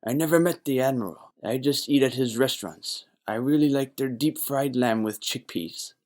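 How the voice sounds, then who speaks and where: A man's voice: mid-range voice, normal speed, a little mellow, lightly acted. A man playing a naive, gossipy young bartender in a noir audio play, earnest and emphatic.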